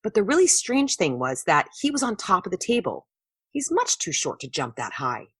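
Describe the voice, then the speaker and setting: A woman voicing a young boy earnestly telling a story to friends in a children's storybook, with exaggerated, animated delivery. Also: on the low side, normal speed, breathy edge, dry recording, clean studio sound, heavily acted. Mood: earnest, puzzled.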